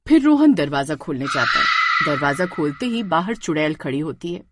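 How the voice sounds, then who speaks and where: A woman's voice: low-pitched, very animated, normal speed, very noisy. A woman narrating a scripted horror story as a storyteller.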